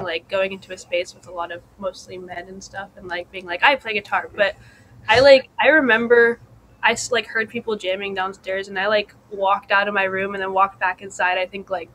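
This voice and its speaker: Young female band guest, medium pitch, informal chat, slight ambient noise. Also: a bit bright, rough recording. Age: young adult. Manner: informal, peppered with "like". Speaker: band guitarist, interview guest. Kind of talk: casual chat.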